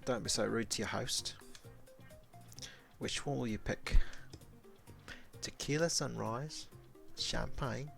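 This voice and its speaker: A man's voice: medium pitch, takes it slowly, vocal fry, pauses often, fairly bright tone, faint music behind, heavily acted. A man voicing a pushy, flirtatious woman hosting a bar night in a story, teasing and insistent.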